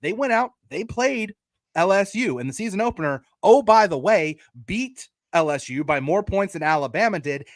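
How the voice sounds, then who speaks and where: A man's voice: high voice, lively intonation, moderate pace, no echo, studio quality. A man co-hosting a sports podcast, arguing emphatically about college football in casual, sometimes profane language. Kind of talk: opinionated college football debate.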